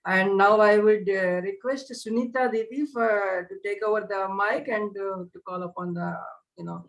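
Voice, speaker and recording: A woman's voice: mid-range voice, fairly even intonation, normal speed. A woman with an Indian accent speaks as the host of an online event. She is unscripted, effusive and very deferential. She tends to repeat her phrases as she introduces and thanks guests.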